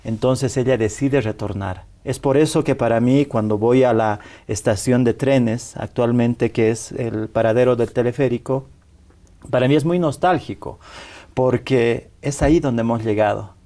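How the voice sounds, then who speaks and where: A man in his forties from Bolivia, a rights activist guest on a TV interview, telling his childhood story in a measured way with frequent tag questions and restarts. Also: medium pitch, warm tone. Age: 40s. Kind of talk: reflective personal storytelling. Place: television studio.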